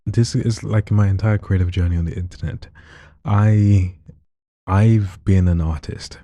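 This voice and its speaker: A man speaking as a podcast guest in a quiet, calm, soft-spoken way while telling his story. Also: sits low, a little slow, no echo. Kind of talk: relaxed conversational storytelling.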